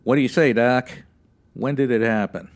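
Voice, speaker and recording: A man's voice: mid-range voice, moderate pace, natural voice. A man playing a weary, firm, businesslike police lieutenant in a noir radio drama.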